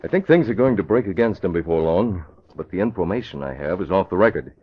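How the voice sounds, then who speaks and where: A low-pitched male newspaper publisher gives orders in a cool, crisp, commanding way. It is 1940s radio drama acting on a dull, muffled old recording. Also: animated, fast. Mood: firm, composed. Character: brisk, authoritative male newspaper publisher, cool-headed boss.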